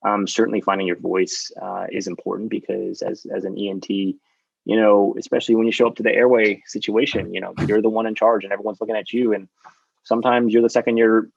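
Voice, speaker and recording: A rambling, talkative surgeon talks through his advice with plenty of ums and restarts. His voice is low and gravelly. His delivery stays fairly flat, and the recording sounds dull and dry. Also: fast, no room sound. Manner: talkative, self-described loudmouth, rambling with many fillers. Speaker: surgeon mentoring residents. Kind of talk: panel discussion, advice to trainees.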